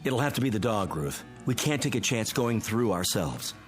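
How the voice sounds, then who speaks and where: An actor playing a cautious, commanding family friend in a radio drama, giving firm warnings and instructions. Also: male voice, on the low side, very animated, moderate pace, faint music behind. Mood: firm explaining.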